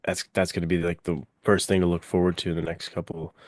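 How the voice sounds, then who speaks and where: A young man co-hosting a podcast in casual chat, upbeat and excited, with casual fillers. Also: low-pitched, fast, no echo, studio quality. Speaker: podcast co-host.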